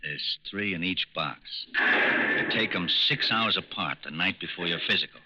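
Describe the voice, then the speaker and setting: Brusque, slangy racketeer, 40ish, low and piercing, muffled 1940s radio sound. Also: male voice, normal speed, pushed and bright. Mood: smooth, cocksure. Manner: brusque, slangy street talk. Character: slick fortyish male racketeer selling draft-dodging pills. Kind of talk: 1940s radio crime drama acting.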